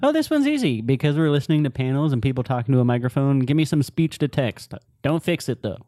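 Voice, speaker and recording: A man co-hosts a podcast in a casual, joking way. His middle-pitched voice swings up and down dramatically, and the recording is dry and close. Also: big pitch swings, fast, mellow, dry recording. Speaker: podcast co-host. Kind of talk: casual banter.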